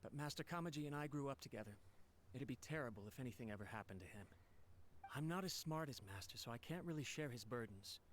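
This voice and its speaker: A man's voice: medium pitch, lively intonation, quick pace, a little hiss or hum, natural voice. A man voicing an anxious, humble young servant of a noble clan in a video game, speaking in a nervous, apologetic, earnest way. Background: game music.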